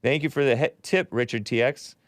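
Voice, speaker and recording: A man's voice: medium pitch, a little fast, dry recording, clean studio sound. A man hosting a call-in show, arguing scripture with callers and reading out viewer messages, with chuckles as he reads. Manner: argumentative, quotes scripture, chuckles while reading.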